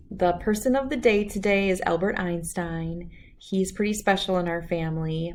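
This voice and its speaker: A woman reading a children's storybook aloud in an expressive way, acting out the lines. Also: on the low side, slight background noise. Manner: expressive, acts out character lines. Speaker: read-aloud host.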